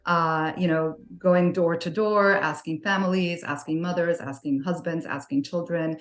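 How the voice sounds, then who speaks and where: Low-voiced woman in an echoey room. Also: fairly even intonation, moderate pace. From Libya. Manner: thoughtful, many fillers and restarts. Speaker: podcast guest. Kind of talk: conversational interview answers. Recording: roomy echo.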